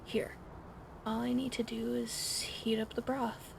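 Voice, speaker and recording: Gravelly whispering woman, fan humming behind. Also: on the high side, flat delivery, a little slow. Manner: soft caring whisper, gently bossy. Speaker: ASMR roleplay narrator. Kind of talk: whispered ASMR roleplay. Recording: fan noise in background.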